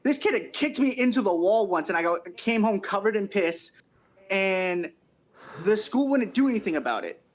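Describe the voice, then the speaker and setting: A man's voice: high-pitched, sing-song, moderate pace. A man from Canada chatting casually on a podcast, telling sweary anecdotes and often laughing as he goes. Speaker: podcast speaker.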